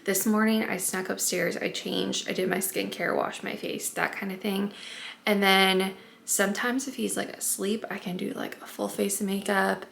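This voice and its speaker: A young woman vlogging casually at home as a stay-at-home mom, chatty and often hushed, congested and a bit raspy from allergies. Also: medium pitch, flat delivery, normal speed, a little hiss or hum. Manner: chatty, lots of "like" and "um", often hushed to not wake the baby. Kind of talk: casual vlog talk to camera.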